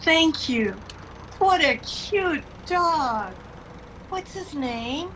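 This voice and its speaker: A woman's voice: high-pitched, expressive, very slow, fairly bright tone, exaggerated voice. A woman playing an anxious, polite young boy in a radio play, earnest and hesitant. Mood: polite, fond. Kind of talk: theatrical radio play acting. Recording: noisy streamed radio play.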